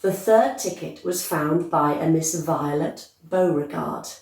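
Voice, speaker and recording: A kindly father in a storybook, read by a woman, announces the newspaper deliberately. The sound is echoey, set back from the microphone. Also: sits low, a little mellow, slight background noise. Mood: deliberate, announcing. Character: kindly, poor father reading the newspaper aloud. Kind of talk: storybook character voice. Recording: echoey room, distant microphone.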